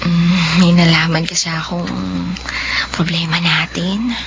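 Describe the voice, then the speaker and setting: A woman voicing a gentle, hesitant young woman in a radio drama. She speaks slowly and softly. The recording is dull, with faint noise. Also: lowish voice, little pitch movement.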